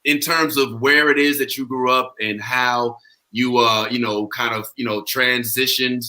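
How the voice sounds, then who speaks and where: A man's voice: medium pitch, steady tone, measured pace, bright, forceful tone. An American man hosting a casual chat, talking in a slangy way and putting questions to his guests. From St. Louis, Missouri, US. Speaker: podcast host.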